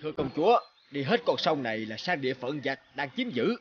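A man's voice: on the high side, sing-song, brisk, dull audio, a little hiss or hum. A man voicing a loyal royal general, polite, respectful and formal, in a cartoon folk tale.

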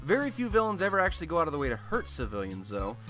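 Animated, wry man playing a radio host. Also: on the high side, rapid-fire, soft background music. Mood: wry, upbeat. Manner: wry, conversational, mildly sweary. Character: chatty, irreverent male radio host in a superhero city. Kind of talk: casual scripted radio monologue.

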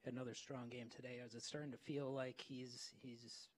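A man, a reporter, asking questions at a press conference in a plain, measured way. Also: mid-range voice, flat delivery.

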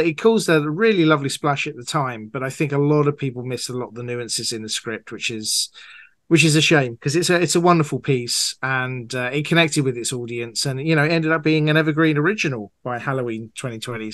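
A man's voice: a little high, animated, brisk, a bit bright, dry recording, studio quality. A man answering interview questions as a guest audio drama producer, talking conversationally and with enthusiasm.

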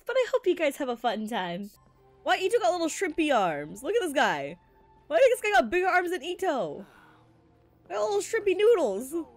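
A woman streaming games with casual, slangy, profane commentary to her chat, speaking close to the microphone. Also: high-pitched. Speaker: gaming streamer.

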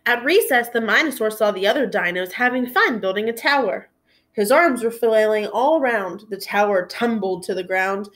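A woman in her thirties narrating a children's picture book aloud in an expressive style, indoors at home. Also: mid-range voice, normal speed. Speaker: storybook reader for children. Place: small room at home, indoors.

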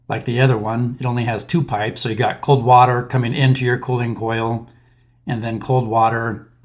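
A man in his thirties giving a plain, methodical voice-over walkthrough as a maintenance instructor, with the odd filler. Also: mid-range voice. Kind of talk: explainer voice-over over slides.

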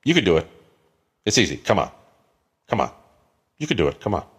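A man's voice: low voice, big pitch swings, a little fast, fairly bright tone, slightly rough, exaggerated voice. Guy acting a chatty older cowboy outlaw, coaxing and friendly, voice jumps all over. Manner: cajoling, chatty. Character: affable fast-talking older outlaw peacemaker, coaxing. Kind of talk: dramatic western film acting demo.

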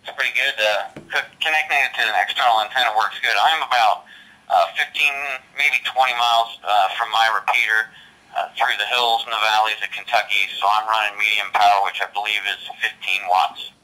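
A man's voice: lowish voice, steady tone, brisk, bright, forceful tone, rough recording. A man, an amateur radio operator, chatting in a two-way exchange, his voice coming through a digital radio transmission. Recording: digital voice heard over handheld radio speaker.

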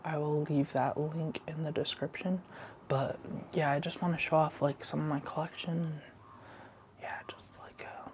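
A man in his thirties doing ASMR, hesitant, moving between a whisper and a soft voice in a small room indoors. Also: fairly high pitch, a little hiss or hum. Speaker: ASMR video host.

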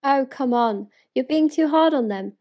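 A woman plays an eager townswoman of ancient Bethlehem in a dramatic verse piece. Her British voice sits a little high and speaks with earnest, excited wonder. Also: normal speed, muffled recording, lightly acted. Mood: curious. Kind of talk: dramatic spoken-word verse reading.

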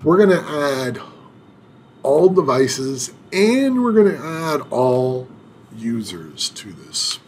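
Slow, hesitant man narrating a screen tutorial. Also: medium pitch, very animated, darkish tone, slight background noise. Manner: unhurried, hesitant, many filler sounds and restarts. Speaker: IT administrator demonstrating software setup. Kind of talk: screen-recorded tutorial walkthrough.

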